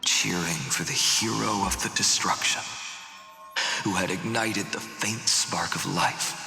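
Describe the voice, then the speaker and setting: An actor playing a grave, weary swordsman burdened by fate, speaking in measured, dramatic video game dialogue that sometimes drops toward a whisper. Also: on the low side, steady tone, vocal fry, bright, forceful tone, echoey room, in a large hall, soft background music, exaggerated voice. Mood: solemn, reflective.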